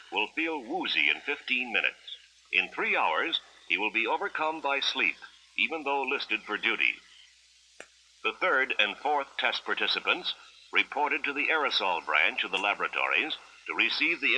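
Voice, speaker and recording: A man's voice: lowish voice, pushed and bright, breathy edge, poor audio. An American man reading formal, clinical narration for an educational film in the style of the 1960s, on an old film soundtrack.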